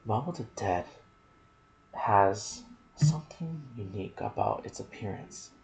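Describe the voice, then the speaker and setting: A soft-spoken man hosting an ASMR video about game lore, with a hushed delivery that slips into whispers. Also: fairly low pitch, animated, a little slow, gravelly, rough recording. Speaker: video host and gaming content creator.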